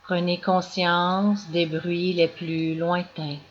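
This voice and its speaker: Female meditation guide, calm scripted delivery with long pauses, on a dull lo-fi recording with noticeable background noise. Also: on the low side. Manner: slow, calm, measured instructions with long pauses. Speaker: yoga nidra guide.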